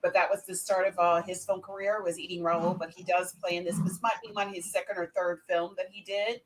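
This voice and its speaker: A woman's voice: lowish voice, flat delivery, brisk, a little hiss or hum, poor audio. A woman hosting a casual film discussion, talking with enthusiasm and emphasis.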